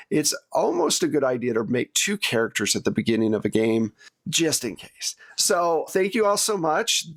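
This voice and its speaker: A man with a mid-pitched voice hosts a tabletop roleplaying podcast. He narrates as game master in a conversational way, speaking directly to his players. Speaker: game master and show host.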